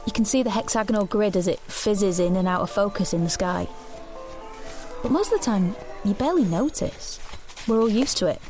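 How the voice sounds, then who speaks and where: A young woman played by an actress narrates her life in a sci-fi drama. Her medium-pitched voice is confiding and wry, with soft music behind it. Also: lively intonation, moderate pace, natural voice. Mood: reflective. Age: young adult. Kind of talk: first-person scripted drama narration.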